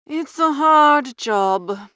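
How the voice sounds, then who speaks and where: A woman plays a hapless young gentleman in a comic audiobook, hopelessly lovesick. The voice is high and swooping, earnest and wistful, in a dry, close recording. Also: lively intonation, very slow, dry recording, heavily acted. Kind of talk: audiobook character reading.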